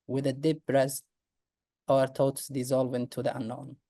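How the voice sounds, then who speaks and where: A speaker recites a poem slowly and solemnly, the voice dark and nearly flat in pitch. It sounds distant from the mic.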